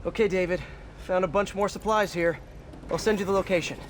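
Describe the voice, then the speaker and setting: An actor playing a youthful, kind-hearted superhero in a video game, with an American accent and a casual, wry, friendly way of talking. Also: male voice, sits high, fairly even intonation, a little fast, close to an everyday voice. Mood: wry, musing. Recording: clear background noise.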